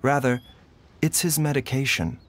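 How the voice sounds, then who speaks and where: A man playing a calm, courteous, scholarly doctor in a video game, speaking in a measured, formal, articulate way. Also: mid-range voice. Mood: grave, measured. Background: background music.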